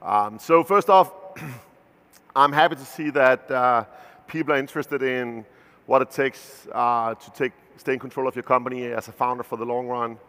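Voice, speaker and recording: Founder keynote: man in his thirties, Danish accent, mid-pitched and casual, with long hall reverb from the venue. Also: steady tone, normal speed, bright, forceful tone. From Denmark. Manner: loose and conversational, many filler "uh"s and restarts. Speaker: company founder giving a keynote. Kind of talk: conference keynote talk. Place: large conference stage.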